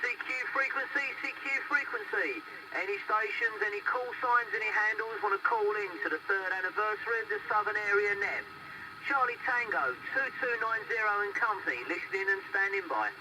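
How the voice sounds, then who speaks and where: A British man acting as a CB net controller, speaking casually and a little haltingly over the radio. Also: high-pitched. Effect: CB radio transmission. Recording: noisy, distant CB radio sound.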